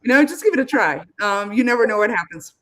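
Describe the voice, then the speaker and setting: A middle-aged woman from Argentina with an Argentine accent, speaking as a guest in a casual interview. She tells her story in a lively, animated way and often tags her sentences with "right?". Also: medium pitch, fast talker. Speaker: guest, space biotech founder.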